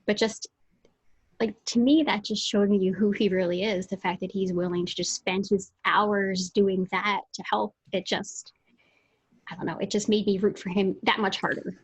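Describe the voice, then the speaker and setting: A woman speaks as co-host of a casual book chat on a video call. Her voice is husky, mid-pitched, and she keeps restarting and rewording her thoughts. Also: fairly even intonation, normal speed, rough recording. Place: indoor room at home, video call.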